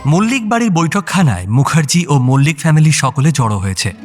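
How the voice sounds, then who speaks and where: A man's voice: on the high side, very animated, fairly quick, faint music behind. A man narrating a scripted audio story.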